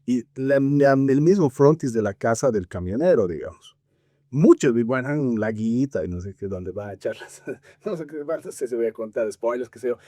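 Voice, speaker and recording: Mellow Bolivian man, halting talker. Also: mid-range voice, expressive, no echo. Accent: Bolivian Spanish. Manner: halting, searching for words, frequent filler tags. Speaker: film podcast co-host. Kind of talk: conversational film criticism.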